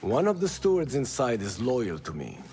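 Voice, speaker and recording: An actor playing a determined, impassioned rebel leader in a video game, resolute and expressive. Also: male voice, mid-range voice, normal speed. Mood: earnest.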